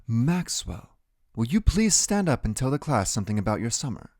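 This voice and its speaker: A man voicing a new woman teacher who speaks politely but sounds unsure and slow. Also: mid-range voice, big pitch swings, a little mellow, no echo, clean studio sound. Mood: flustered.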